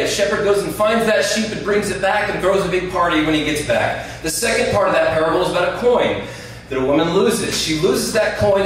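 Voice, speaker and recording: A man preaching a sermon to a live audience in a loud, conversational voice, often checking that listeners follow. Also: high-pitched, slight background noise. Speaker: guest preacher. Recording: very echoey room, distant mic, faint ambience.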